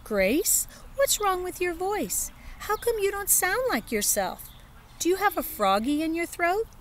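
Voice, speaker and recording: Storybook kid: woman reading as an excitable, bossy kindergarten girl, high and loud, eager. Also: unhurried, slight background noise, exaggerated voice. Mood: worried. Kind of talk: children's storybook read-aloud.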